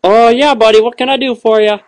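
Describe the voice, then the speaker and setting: A man's voice: very high-pitched, fairly even intonation, brisk. A man playing a flippant, clueless young radio host on a comedy call-in show, casual and bumbling.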